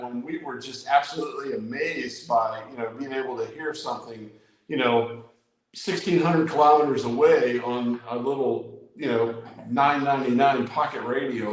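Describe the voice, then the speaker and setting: A man, a guest who tells stories eagerly in casual chat with many "you know"s. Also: medium pitch. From Virginia, USA. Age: 60s. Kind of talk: casual live-stream chat. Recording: very echoey room.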